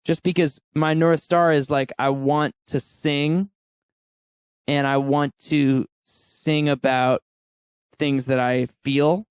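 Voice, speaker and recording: A man in his thirties with a smooth, clear voice, a little higher than most men, chatting as a podcast guest. He talks candidly and laughs often, and the sound is dry and close. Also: little pitch movement, slow pace, no room sound. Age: 30s. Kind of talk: casual interview chat.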